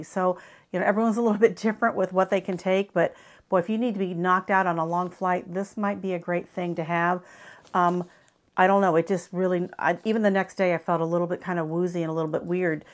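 A woman's voice: on the low side, steady tone, fairly quick. A woman in her fifties with an American accent, chatting and explaining as she reviews travel products to camera. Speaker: travel gear vlogger. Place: small furnished living room, indoors.

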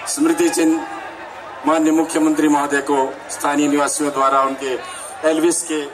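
A man's voice: on the high side, little pitch movement, moderate pace. A man giving formal, declamatory commentary at an outdoor ceremony, using honorific language. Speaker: event announcer. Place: outdoor felicitation event with a crowd. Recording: distant recording with crowd noise.

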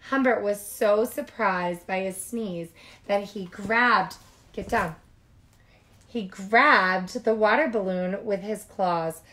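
A woman reading picture books aloud to young children like a childcare teacher, expressive and informal. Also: mid-range voice, takes it slowly, low-quality recording.

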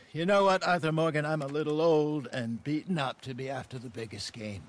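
An actor playing a rascally older outlaw in a video game, folksy and easygoing, with an American accent. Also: male voice, fairly high pitch, moderate pace, close to an everyday voice. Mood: rueful. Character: genial, rascally old outlaw man. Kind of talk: video game cutscene acting.

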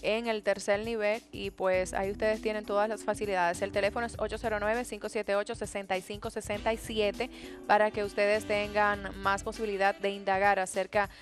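Brisk middle-aged TV host. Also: female voice, medium pitch, little pitch movement, soft background music. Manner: brisk, guiding interviewer. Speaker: TV show host. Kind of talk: studio TV interview. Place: TV studio set. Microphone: headset mic.

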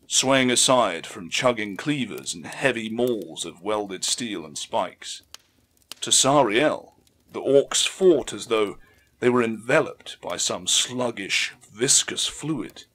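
A man narrating an audiobook story in a measured, theatrical way, with emphatic swells. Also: on the low side, lively intonation, fairly bright tone. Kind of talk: dramatic scripted story narration.

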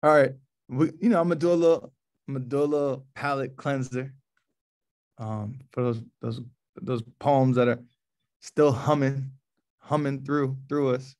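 An American man in his thirties hosting a poetry reading in a casual, colloquial way, often halting and repeating words. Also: medium pitch, fairly even intonation, darkish tone. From New Orleans, USA. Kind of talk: casual, informal event hosting. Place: small office, indoors. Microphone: laptop mic on a video call.

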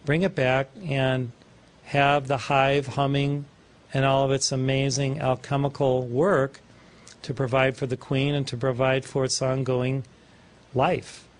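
A man in his sixties, a spiritual teacher, giving an informal, playful sermon full of wordplay and asides, recorded in a small studio on a lapel mic. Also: mid-range voice. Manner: warm, playful, digressive, chuckling at his own wordplay. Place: small studio before a backdrop.

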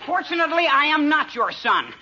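A pompous, puny young son in an old radio comedy. His voice is high and sharp, on a dull recording. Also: big pitch swings, a little fast, some chatter behind, heavily acted. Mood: haughty. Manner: pompous, prissy. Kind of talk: 1940s radio comedy acting. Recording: dull, faintly noisy 1940s radio recording.

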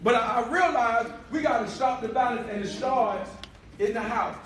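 A man preaching a sermon in a church hall, calling for responses and rising to shouts, recorded by a far-off camera. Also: very high voice, normal speed, slight background noise. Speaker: pastor.

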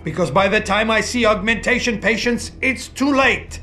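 An actor playing a guilt-ridden, betrayed middle-aged surgeon in a video game, with a formal, anguished delivery. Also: male voice, high-pitched, moderate pace, sharp tone, faint music behind. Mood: resigned. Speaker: former surgeon. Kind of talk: video game cutscene acting.

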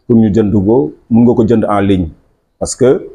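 A man with a Senegalese accent presents club merchandise in a low, mellow voice. He keeps a steady selling tone, addressing local supporters from a small office. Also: unhurried, breathy edge. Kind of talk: promotional product presentation.

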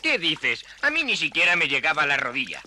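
A man's voice: on the high side, huge pitch swings, brisk, sharp tone, a little hiss or hum, exaggerated voice. A man voicing a grumbling, put-upon small insect in a cartoon, with a dry delivery. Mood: sarcastic. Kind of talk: cartoon dub acting.

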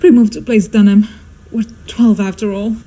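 A woman's voice: medium pitch, fairly even intonation, normal speed, warm tone, slight background noise, natural voice, poor audio. A woman playing a histrionic society hostess and mother in a radio drama, speaking in a flustered, theatrical, exclamatory way. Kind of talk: stagey radio drama acting.

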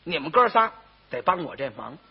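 A man's voice: fairly high pitch, big pitch swings, moderate pace, vocal fry, husky, exaggerated voice. A man voicing a pompous, fretful eldest brother in a comic tale, stammering and emphatic, with a Beijing accent. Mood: self-righteous, fretful. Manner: stammering, emphatic, repeats himself. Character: pompous, fretful eldest brother guarding his good name. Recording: muffled, dull old recording.

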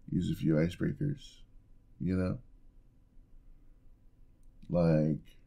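A man voicing a flirty yandere husband in an ASMR roleplay, smooth-talking and teasing with a playful menacing edge. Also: deep voice, warm tone, full character voice.

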